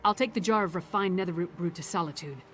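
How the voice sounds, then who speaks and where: An actress playing a brisk, pragmatic female adventurer ally in a fantasy video game, speaking in a businesslike, matter-of-fact way. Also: fairly low pitch, soft background music, natural voice. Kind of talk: scripted video game dialogue. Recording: faint noisy game ambience behind voice.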